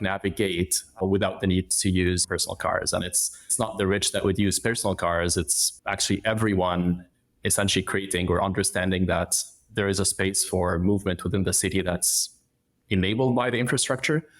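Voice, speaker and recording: A man speaks in a low, gravelly voice, walking the listener through his ideas as a guest on a podcast. His answers come measured and explanatory, building each thought step by step. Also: steady tone. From Egypt. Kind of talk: conversational interview answers.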